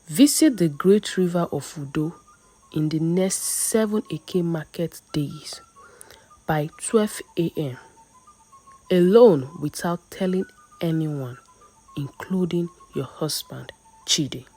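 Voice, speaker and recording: A woman's voice: on the low side, takes it slowly, warm tone. A woman voicing a kindly, mysterious old village woman who gives motherly, solemn instructions in a folktale. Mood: kind, instructing. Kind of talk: folktale storytelling.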